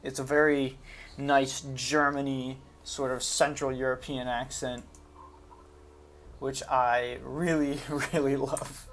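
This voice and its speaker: Casual man with a creaky voice. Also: medium pitch, flat delivery, takes it slowly, a bit bright. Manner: informal, hesitant, thinking aloud. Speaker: gaming video host. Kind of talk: casual unscripted game commentary.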